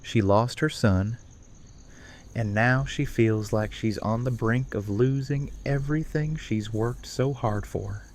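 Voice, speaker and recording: An actor playing a weary, troubled but reasonable man in an audio drama, speaking in a measured, serious way. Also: mid-range voice, darkish tone, a little hiss or hum, lightly acted.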